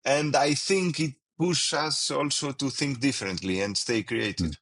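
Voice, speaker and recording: A man with a Greek accent, a winemaker guest speaking non-native English, pausing often with fillers and restarts. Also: mid-range voice, a bit bright. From Greece. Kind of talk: casual interview.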